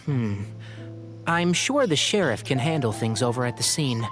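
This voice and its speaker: A man's voice: medium pitch, very animated, normal speed, a bit bright, faint music behind. A man playing a clever, considerate young scholar in a video game, articulate and considered in his delivery.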